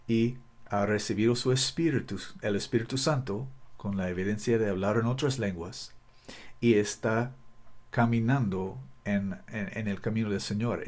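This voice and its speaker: Low-voiced man teaching the Bible haltingly. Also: a little mellow. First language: English. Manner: careful, halting, frequent restarts. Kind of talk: video Bible study. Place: Costa Rica.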